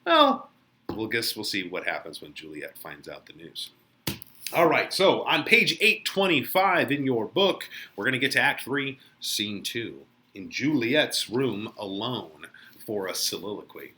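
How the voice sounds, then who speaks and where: A man's voice: medium pitch, very animated, measured pace, sharp tone. A man working as an English teacher, retelling a play in a colloquial, theatrical way. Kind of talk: lesson commentary, casual retelling of a play.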